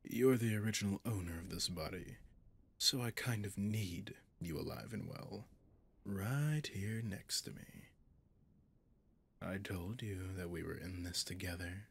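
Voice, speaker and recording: A man's voice: deep voice, fairly bright tone, full character voice. A man voicing a possessive, gloating demon in an ASMR roleplay, seductive and menacing, often slipping into a whisper. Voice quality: gravelly, breathy, often whispering. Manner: slow, seductive, menacing, condescending.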